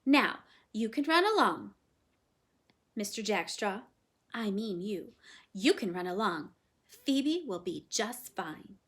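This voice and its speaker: A woman voicing a brisk, kindly older woman who talks in a firm, matter-of-fact way. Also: mid-range voice, expressive. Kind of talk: storybook read-aloud.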